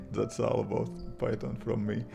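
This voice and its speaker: A young Latvian man, a native Latvian speaker with a Latvian accent, chatting at home on a livestream, halting and restarting often. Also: lowish voice, little pitch movement, creaky. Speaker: livestream host, iOS developer. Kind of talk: casual livestream chat reading viewer comments. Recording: guitar music playing behind the voice.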